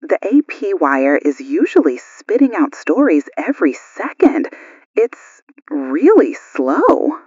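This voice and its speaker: A woman's voice: lowish voice, very animated, slow, darkish tone, breathy edge, dry recording, lo-fi sound, heavily acted. A woman playing an alert, concerned morning radio news host in an audio drama. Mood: urgent, worried. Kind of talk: acted radio broadcast drama.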